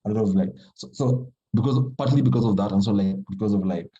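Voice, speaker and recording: A man from Kenya, a writer talking casually as a podcast guest in a halting, thoughtful way full of fillers. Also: fairly low pitch, warm tone, highs cut off, a little hiss or hum. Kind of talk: casual conversation.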